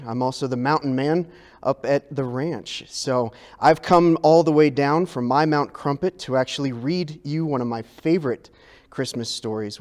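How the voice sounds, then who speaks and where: A man reading a children's story aloud in an expressive, dramatic way, stretching words for effect. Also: mid-range voice. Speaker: youth ministry director reading a children's story.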